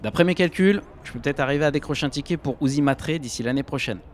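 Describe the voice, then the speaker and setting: A man's voice: on the high side, fast, a little hiss or hum, close to an everyday voice. A man playing a cheerful young factory worker, chatting in loose slang in an audio drama. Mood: cheerful, boastful. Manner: casual, slangy.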